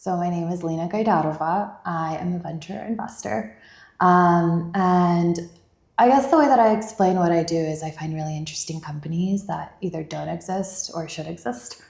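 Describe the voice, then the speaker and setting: Gravelly low-voiced woman in an echoey room. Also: moderate pace, darkish tone. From Bulgaria. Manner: chatty, rambling, many "like" fillers. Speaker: venture investor guest. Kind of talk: casual interview chat.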